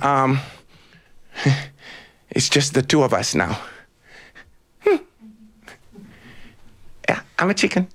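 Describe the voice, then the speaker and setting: A man's voice: fairly high pitch, fairly bright tone. A man doing a shrieking panicky little bird. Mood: chatty. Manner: pleading, shrieking and crying out in panic. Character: frantic timid young bird sure it is a chicken.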